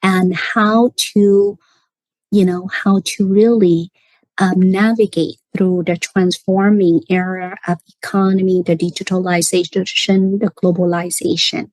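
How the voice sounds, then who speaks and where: A young woman guest on a podcast, asking the host a question in a halting way with many pauses. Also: on the low side, flat delivery, a little mellow, no echo, rough recording. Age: young adult.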